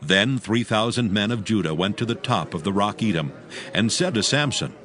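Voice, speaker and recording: Low-voiced solemn male Bible narrator. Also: expressive, normal speed, a bit bright. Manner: solemn storytelling delivery. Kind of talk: scripted dramatized Bible narration.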